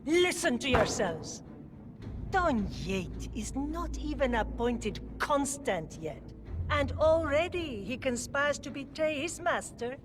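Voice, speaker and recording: An indignant, principled woman in a game drama. She speaks formally and slowly in a raised, expressive voice, disapproving throughout. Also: high-pitched, music in the background, heavily acted. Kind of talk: dramatic video game acting.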